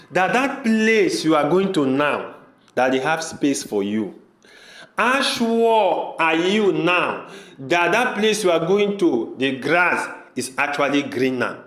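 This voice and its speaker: A man's voice: a little high, a bit bright, heavily acted. A man with a Nigerian accent playing an earnest village man pleading with his brother in an amateur audio drama, asking repeated questions. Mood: cautioning. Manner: pleading, repetitive rhetorical questions. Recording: echoey room.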